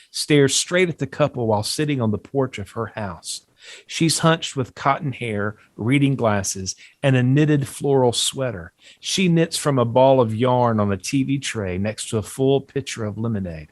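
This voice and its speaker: A man's voice: mid-range voice, expressive, unhurried, no room sound. A man reading stage directions as scripted narration at a table read.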